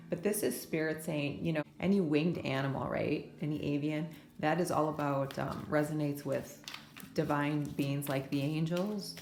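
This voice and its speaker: Woman tarot reader, low pitch, gravelly and scratchy, echoey room, faint hiss. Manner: soft, soothing spiritual talk with many "right?" asides. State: scratchy from allergies. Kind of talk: pick-a-card tarot reading.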